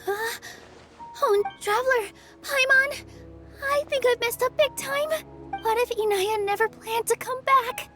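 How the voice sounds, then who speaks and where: A woman playing a gentle, earnest young theater dancer in a video game. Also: extremely high pitch, unhurried, music in the background. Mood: worried.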